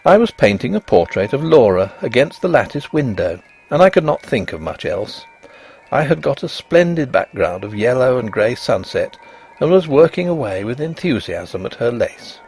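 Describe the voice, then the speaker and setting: A man narrating a Victorian ghost story as scripted reading, with an expressive, literary delivery. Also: mid-range voice, normal speed, creaky, slightly rough, faint music behind.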